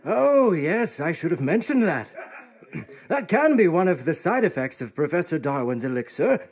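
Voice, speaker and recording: A man's voice: a little high, big pitch swings, moderate pace. A man acting a pompous, suspicious small-town husband in live comedic radio theatre, blustering and self-important. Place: live stage before an audience.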